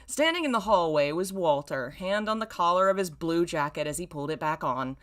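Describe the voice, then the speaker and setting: A woman in her thirties with a low-pitched voice reads fiction aloud on a stream. She leans theatrically on the descriptive words, then drops into wry, self-mocking commentary. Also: lively intonation, a little fast. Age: 30s. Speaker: streamer reading own old fan fiction. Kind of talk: live story reading with wry asides.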